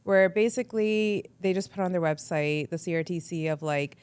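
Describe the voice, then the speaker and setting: A young woman talking casually as a podcast guest. She speaks in a chatty, quick way, says "like" often, and acts out her own thoughts. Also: fairly low pitch, a little mellow, clear, resonant tone, no room sound. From Canada. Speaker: guest, radio entrepreneur.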